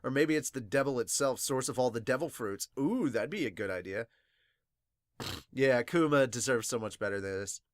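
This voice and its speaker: A man hosting a fan reaction podcast in a casual, excitable way with some swearing, sounding a little congested and sniffly. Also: mid-range voice, normal speed, no room sound. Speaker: podcast host reviewing manga. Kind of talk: casual fan reaction, reading viewer comments aloud.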